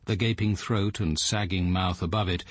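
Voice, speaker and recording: An actor playing a reflective, literary male detective who narrates his thoughts in a measured, erudite radio drama style. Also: low voice, fairly even intonation, no echo. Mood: sombre.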